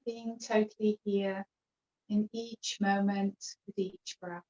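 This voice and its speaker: A woman teaching yoga, guiding a relaxation practice with gentle, unhurried phrasing and long pauses. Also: medium pitch, level tone, roomy sound. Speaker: yoga teacher leading a guided relaxation class. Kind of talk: guided meditation instruction. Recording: dull, lo-fi video call sound.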